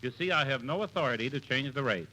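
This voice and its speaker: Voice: mid-range voice, lively intonation, fast talker, sharp tone. An actor playing a courteous but unbudging hotel manager in a 1950s radio comedy. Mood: courteous, dry. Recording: noisy old radio recording.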